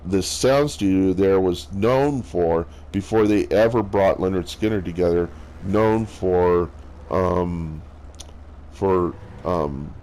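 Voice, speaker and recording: A man with a strong bass voice, chatting casually and haltingly as a streamer, with lots of fillers and restarts. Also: a little mellow. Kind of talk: casual rambling chat.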